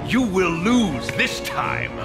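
An actor playing a haughty, menacing alien villain in a video game, making grandiose declarations of fear. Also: male voice, sits high, expressive, slow, loud music behind the voice. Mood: scornful. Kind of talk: video game cutscene acting.

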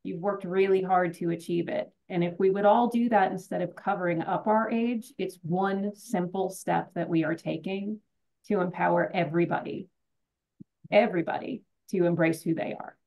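A woman's voice: fairly low pitch, steady tone, moderate pace, gravelly, a little mellow, rough recording. A middle-aged woman presenting on a video call, encouraging and direct as she urges listeners to act. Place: home office, indoors.

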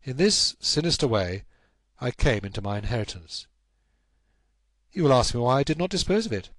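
A man voicing an anxious, helpless young gentleman in a storybook, recounting his troubles in an earnest, measured, formal way. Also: mid-range voice, very animated, dry recording, clean studio sound. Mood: grave, uneasy. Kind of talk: audiobook character acting.

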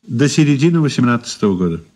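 A man's voice: medium pitch, big pitch swings, fast talker, a little mellow. An actor playing a shrewd, ironic old man under questioning in a 1980s Soviet radio play, courteous and condescending. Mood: guarded, dry. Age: older. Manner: courteous, sly, condescending endearments.

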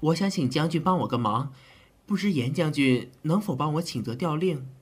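A man's voice: a little high, expressive, normal speed, slightly rough. A man playing an earnest, polite army physician in an ancient Chinese audio drama, with a hesitant, courteous way of talking.

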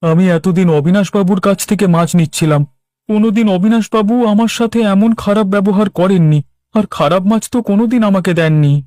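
A man's voice: high-pitched, brisk, darkish tone, no room sound, clean studio sound, close to an everyday voice. A man playing an aggrieved village fish seller in a cartoon, earnestly protesting and standing his ground. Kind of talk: Bengali cartoon dub.

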